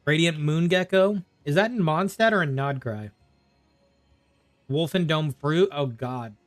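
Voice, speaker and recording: A man's voice: on the high side, unhurried, no room sound, soft background music. A man chatting casually on a game livestream, rambling and thinking aloud as he plays. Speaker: game streamer. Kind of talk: casual livestream chat while gaming.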